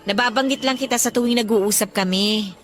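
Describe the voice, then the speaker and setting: A woman's voice: fairly high pitch, brisk, faint music behind, close to an everyday voice. An actress playing a shy, defensive young working woman with a Filipino accent in a radio drama. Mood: sheepish.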